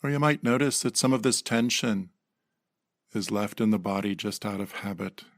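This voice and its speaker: A man leading a guided meditation in a gentle, unhurried manner with pauses, recorded close to the microphone. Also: on the low side, dry recording. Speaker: meditation teacher.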